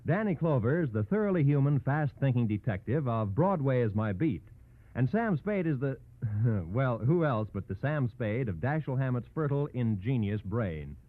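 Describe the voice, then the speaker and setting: A tinny, narrow-band vintage radio recording carries an American man's announcing voice. His pitch swings widely as he delivers scripted promotional copy. The style is polished 1940s broadcast, theatrical and full of dramatic emphasis. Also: big pitch swings, normal speed, no echo.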